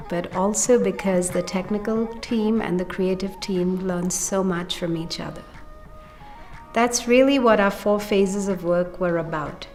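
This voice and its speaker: A woman giving a measured, explanatory account of a project as its spokesperson. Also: medium pitch. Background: faint music.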